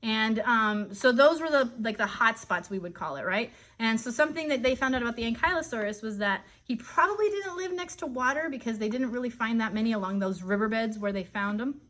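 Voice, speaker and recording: An animated woman hosting an informal live lesson for children, chatty and often checking in with questions. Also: on the high side, brisk, few pauses. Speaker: children's educational show host.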